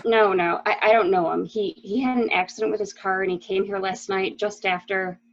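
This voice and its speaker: A woman's voice: medium pitch, fairly even intonation, fairly quick, natural voice. A woman acting a fretful, secretive wife who covers for her lover, in a dramatic mystery reading with dull sound. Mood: fearful.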